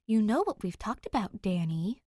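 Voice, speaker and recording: A woman voicing a young big sister in a story, firm and affectionately exasperated as she gently scolds. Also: mid-range voice, sing-song, moderate pace, dry recording, studio quality. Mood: firm, scolding.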